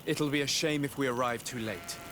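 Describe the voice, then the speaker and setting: A man's voice: brisk, close to an everyday voice. A man playing a young noble hero in a video game, earnest, courteous and resolute, speaking formally. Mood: earnest resolve. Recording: clear rumbling outdoor noise behind.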